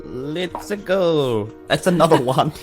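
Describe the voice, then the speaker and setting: A man's voice: fairly high pitch, animated, slow, soft background music. A man, talking in a casual, joking way as a player in a tabletop game.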